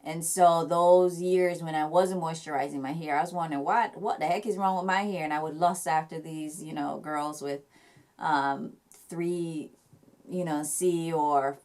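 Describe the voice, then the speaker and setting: A woman's voice: sits low, fairly even intonation, measured pace, a little mellow. A young woman with a Jamaican accent, chatting casually to camera about her hair care, with lots of fillers and restarts. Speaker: hair vlogger.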